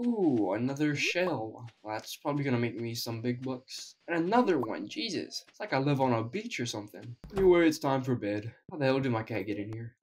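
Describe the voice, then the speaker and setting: A man's voice: medium pitch, slight background noise. Sick young man, wry game commentary. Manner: wry, self-mocking, deadpan asides. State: sick, weak voice. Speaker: gaming YouTuber. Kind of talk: casual gameplay commentary.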